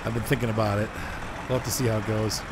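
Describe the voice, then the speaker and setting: A hoarse man chatting casually as he streams a driving game, rambling and answering his chat. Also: on the low side, flat delivery, fast talker. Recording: noisy game sound behind.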